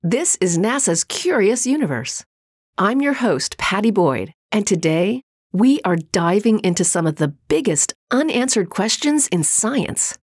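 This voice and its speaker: A woman's voice: mid-range voice, husky, no room sound. Host: young woman, upbeat and chatty, faint music under her voice. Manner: upbeat, conversational. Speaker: podcast host. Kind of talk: podcast interview.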